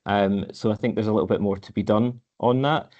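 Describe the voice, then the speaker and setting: Man, council head of service, hesitant, repeats words, low monotone voice, dull lo-fi recording. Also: fairly quick. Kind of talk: answering questions at a committee meeting.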